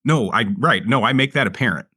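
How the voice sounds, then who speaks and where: A man's voice: medium pitch, animated, fairly quick, pushed and bright, slightly rough, no echo, clean studio sound. A man playing a tabletop roleplaying game in a casual, earnest, improvised way with salty language.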